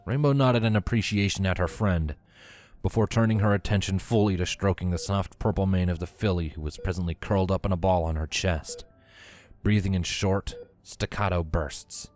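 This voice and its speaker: A man's voice: low voice, normal speed, darkish tone. A man reading a scripted story as its narrator. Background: music.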